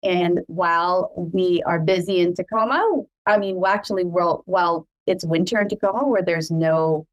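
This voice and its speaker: A woman's voice: on the low side, normal speed, hardly any pauses, lo-fi sound. Chatty middle-aged woman, Cebuano native. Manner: warm, proud and chatty, laughs often. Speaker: podcast host. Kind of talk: casual family chat.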